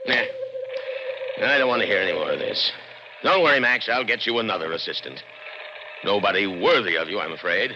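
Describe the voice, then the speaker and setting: A man's voice: fairly low pitch, close to an everyday voice. A man playing a proud, smug middle-aged scientist with an American accent in a 1960s radio drama, theatrical and scornful of human assistants. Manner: muttering, theatrical, self-satisfied. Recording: noisy, dull old radio broadcast.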